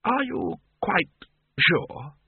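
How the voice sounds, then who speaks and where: A bumbling old head wizard hems and haws in a raised, slow voice, as a man puts him on. Every sentence stalls on an um. The sound is narrow and phone-like, dry. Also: sits high, very animated, gravelly, breathy edge, no room sound, pitched above the performer's own voice, exaggerated voice. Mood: hesitant. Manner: hesitant, full of ums. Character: older male head wizard, bumbling and hesitant.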